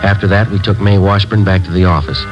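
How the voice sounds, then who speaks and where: An old muffled radio recording with music carries a low-voiced American police sergeant, played by a male actor. He is terse and deadpan, moving quickly through the facts in clipped radio drama style. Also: close to an everyday voice. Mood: matter-of-fact. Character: terse, matter-of-fact male police sergeant narrating his cases. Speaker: narrator and lead police detective. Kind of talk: 1950s radio drama acting, clipped narration.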